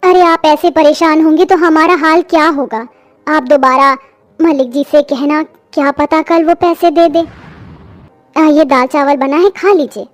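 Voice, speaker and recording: A woman's voice: high-pitched, fairly even intonation, measured pace, no room sound. A woman voicing a practical, caring young wife in a children's cartoon, supportive and earnest. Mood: reassuring. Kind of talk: Hindi children's cartoon story voice acting.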